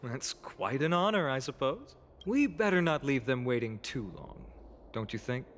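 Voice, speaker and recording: A man voicing a young video game hero who seems carefree but is earnest and wistful, teasing lightly and pausing in thought. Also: mid-range voice, sing-song, fairly bright tone, a little hiss or hum. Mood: musing. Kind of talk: video game voice acting, dramatic dialogue. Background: background music.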